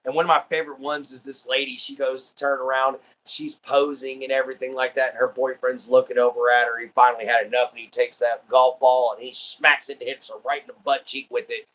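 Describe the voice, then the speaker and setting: Bright-voiced Texan man, rambling chat. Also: mid-range voice, little pitch movement, brisk, hardly any pauses, lo-fi sound. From Southeast Texas. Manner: rambling, easygoing, many ums and uhs. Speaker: livestream host. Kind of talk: casual chat.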